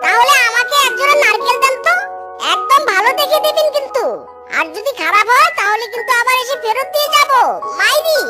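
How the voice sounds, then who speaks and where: A performer voicing a mischievous, foolishly self-satisfied male fox in a children's cartoon, with a squeaky, cheeky, boastful delivery. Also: lively intonation, fairly quick, loud music behind the voice. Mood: cocky, eager. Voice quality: very high-pitched, squeaky. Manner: cheeky, boastful, repeats a pet exclamation.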